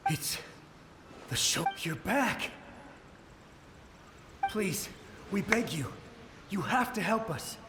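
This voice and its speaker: Echoing, high-voiced haunted samurai ghost. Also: male voice, sing-song, slow pace, long pauses, noisy background, heavily acted. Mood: pleading. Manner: urgent, pleading, dramatic. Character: desperate, haunted male samurai soldier's ghostly memory, reverent. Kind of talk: video game voice acting. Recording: echoing voice with music behind.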